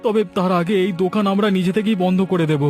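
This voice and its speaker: A man voicing an excitable village shopkeeper who cheats and repents in a moral cartoon, earnest and given to exclaiming. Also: sits high, fast talker, faint music behind, lightly acted. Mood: remorseful. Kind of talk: Bengali moral cartoon acting.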